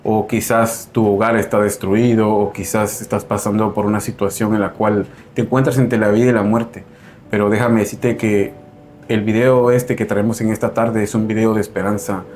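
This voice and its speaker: A man's voice: on the low side, level tone, normal speed, darkish tone, a little hiss or hum. A man hosting a religious video program, introducing a guest in an earnest, preacher-like way. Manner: earnest, preacher-like with frequent "¿verdad?". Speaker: host.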